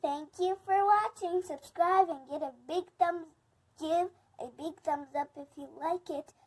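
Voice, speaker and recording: A young girl hosting a children's read-aloud, reading haltingly word by word and adding playful side comments. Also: extremely high pitch. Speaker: host reading a picture book aloud.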